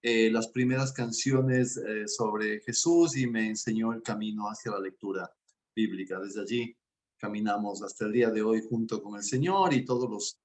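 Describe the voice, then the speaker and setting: A man's voice: lowish voice, steady tone, normal speed. A man, a guest coach speaking in an earnest, enthusiastic way in a casual interview. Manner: warm and earnest, speaks with enthusiasm about faith.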